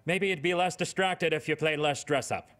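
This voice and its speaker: A man voicing a foul-mouthed, domineering high school valedictorian in a video game cutscene. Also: moderate pace, fairly bright tone, soft background music, natural voice. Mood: menacing, irate. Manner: domineering, swearing, menacing.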